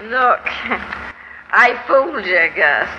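A ruined, boozy actress in an old radio drama. Her high voice swoops wildly through slow, slurred phrases, under the heavy hiss of a muffled vintage broadcast. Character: drunken washed-up actress, wild, gloating barfly woman. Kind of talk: 1940s radio drama acting.

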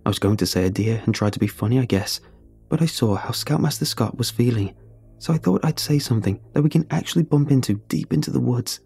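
A man voicing a calm, cryptic young scout. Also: mid-range voice, vocal fry, slightly rough, soft background music. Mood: earnest. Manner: calm, earnest, unhurried.

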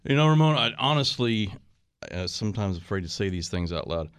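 A man's voice: lowish voice, big pitch swings, fairly quick, fairly bright tone, smooth, no room sound. A man hosting a casual talk radio show in a conversational, jovial and teasing way. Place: radio studio.

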